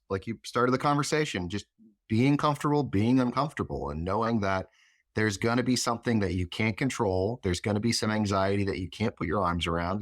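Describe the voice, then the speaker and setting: A man speaking as an interview guest in a reflective, explanatory way, phrasing his thoughts in a measured manner. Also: fairly low pitch, fairly bright tone, dry recording, clean studio sound. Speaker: interview guest, chief of staff.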